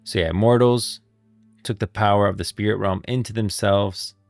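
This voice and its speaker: A man doing casual live commentary on game lore as a streamer, halting and repeating himself as he thinks aloud. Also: lowish voice, steady tone, vocal fry, dry recording, soft background music.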